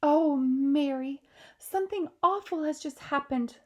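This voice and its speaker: A woman's voice: high-pitched, unhurried, mellow, pitched above the performer's own voice. A woman reading a storybook aloud, voicing an elderly queen with refined, exclamatory speech. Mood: alarmed, refined. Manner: refined, exclamatory, posh phrasing. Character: elderly queen, grand, easily alarmed.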